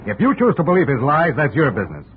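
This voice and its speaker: A man's voice: a little high, wildly swooping pitch, fast talker. Pompous businessman husband, formal, indignant, sweeping intonation, 1950s radio play, hissy narrow recording. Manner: stiff, formal, emphatic. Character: indignant, pompous husband and loan company executive. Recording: noisy, narrow-band vintage radio recording.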